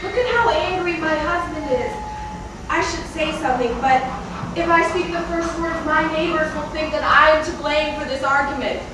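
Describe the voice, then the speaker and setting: A woman's voice: sits high, normal speed, distant mic, background noise, lightly acted. A woman playing a quarrelsome village wife in a folktale stage play, projecting to the room. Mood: hesitant, conciliatory. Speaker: actor in a school folktale play. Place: large room or hall, distant mic. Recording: echoey stage from afar, loud music.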